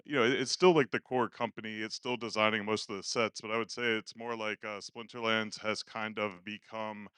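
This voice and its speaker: A man speaks in a low, smooth voice with a bright edge and a dry sound. He is a novice presenter on a conference stage, informal and a little hesitant into a handheld microphone. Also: steady tone, brisk, hardly any pauses, no echo. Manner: hesitant, self-effacing. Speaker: first-time conference speaker. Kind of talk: informal unscripted stage talk. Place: conference stage at live event.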